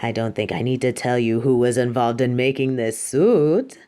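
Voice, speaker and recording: A woman's voice: rumbling low, moderate pace, mellow. A woman playing a proud, exacting yet fond superhero costume designer in a scripted roleplay, speaking in a grandiose, dramatic way. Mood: proud, boastful. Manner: grandiose, dramatic, addresses listener as darling. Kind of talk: scripted roleplay audio monologue.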